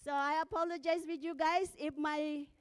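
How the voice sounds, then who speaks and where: A woman's voice: sits high, little pitch movement, normal speed. A middle-aged woman pastor with a Filipino accent, whose first language is Ilocano, preaching a church sermon with nervous laughter and fervent prayer.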